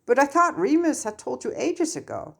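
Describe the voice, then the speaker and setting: A woman voicing a fiery, expressive, smitten teenage schoolgirl with an exclamatory way of speaking in a story reading. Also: high-pitched, moderate pace, vocal fry, voice pushed up, heavily acted. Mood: startled.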